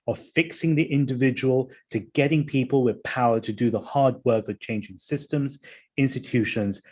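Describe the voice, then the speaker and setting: Man moderating a panel, measured. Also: mid-range voice, darkish tone. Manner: measured, reads out audience questions.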